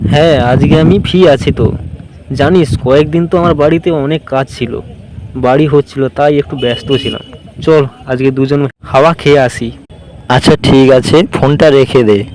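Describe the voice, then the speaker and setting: A man's voice: medium pitch, noisy background, natural voice. A man voicing an idle, chatty young guy in a cartoon, talking casually as if with a friend. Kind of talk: cartoon dialogue, casual phone chat between friends.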